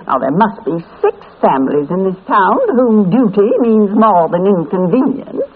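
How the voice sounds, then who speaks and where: A woman's voice: mid-range voice, expressive, normal speed, husky. A woman playing a bossy, condescending small-town busybody in a 1940s radio drama, self-righteous and dismissive. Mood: patronizing, indignant. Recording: muffled old radio recording.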